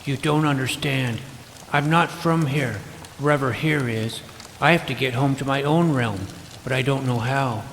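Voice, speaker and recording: A man's voice: mid-range voice, normal speed, fairly bright tone, slight background noise, natural voice. A man playing a naive, self-doubting, geeky boy who narrates his fantasy adventure in a wry, youthful, earnest way. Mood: frustrated, defeated. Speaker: narrator and lead character. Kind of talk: first-person scripted fantasy narration and dialogue. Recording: music behind the voice.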